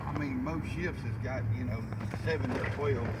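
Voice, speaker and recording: A man's voice: mid-range voice, normal speed. A man chatting casually in a rambling, folksy way, repeating his phrases. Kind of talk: casual chat. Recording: distant mic, noisy outdoor recording.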